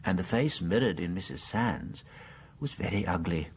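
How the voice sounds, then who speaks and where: An actor playing a gentle, shrewd British priest in a radio mystery drama, speaking mildly with polite hesitations. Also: male voice, sits low, expressive, moderate pace, a little hiss or hum. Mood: quietly insistent. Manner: mild, hesitant, stammering politely.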